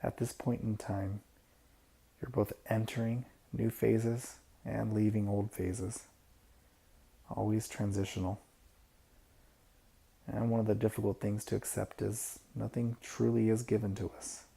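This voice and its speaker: Male meditation guide: low, very dark and mellow, gravelly fry, soft and unhurried. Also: little pitch movement. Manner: soft-spoken, unhurried instruction with pauses.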